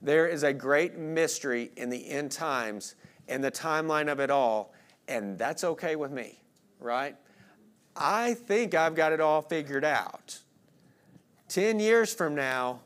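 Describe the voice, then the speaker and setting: A man's voice: mid-range voice, a bit bright. A man, preaching an expository sermon in an informal, self-deprecating way with rhetorical questions to listeners. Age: late 30s. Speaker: pastor preaching.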